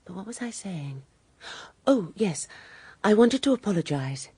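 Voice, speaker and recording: A woman playing a poised, candid British widow in a radio drama, genteel and measured, with a dark husky voice. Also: medium pitch, sing-song, dry recording, exaggerated voice. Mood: gracious. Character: poised, candid upper-class widow, coolly charming.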